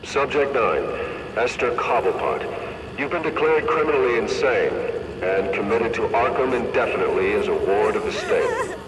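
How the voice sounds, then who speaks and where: An actor playing a cold, formal official who makes pronouncements in a video game. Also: male voice, mid-range voice, huge pitch swings, normal speed. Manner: formal, declarative. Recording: distant, echoing room.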